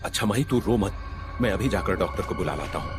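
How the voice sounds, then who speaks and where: Young villager voiced by a man, low voice, reassuring, with music bed. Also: lively intonation, quick pace. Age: young adult. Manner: reassuring, firm. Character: young village son, brave and caring. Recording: clear music bed underneath.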